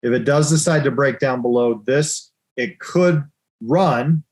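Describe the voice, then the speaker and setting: A man hosting a live currency trading session and talking through chart moves in loose, chatty sentences. He teases his co-host and laughs often. His voice sits at an ordinary middle pitch. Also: a little slow. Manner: casual, teasing, laughs often.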